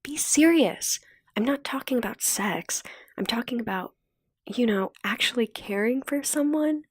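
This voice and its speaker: A woman voicing an earnest, insistent teenage girl with a breathy, pleading manner in an audiobook. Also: on the high side, expressive, unhurried, dry recording, voice pushed up. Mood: stern.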